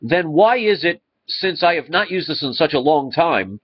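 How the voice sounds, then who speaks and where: A man's voice: on the high side, lively intonation, normal speed. Congested man on a dull webcam. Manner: earnest, rambling with restarts. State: congested, stuffy nose. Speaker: vlogger. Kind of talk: casual talk to camera. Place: living room indoors. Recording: slightly dull lo-fi webcam audio.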